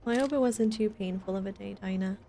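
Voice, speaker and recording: A woman's voice: mid-range voice, fast, warm tone. A woman streaming a video game, chatting casually and addressing her viewers as she plays. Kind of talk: casual livestream gameplay chat. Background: video game music.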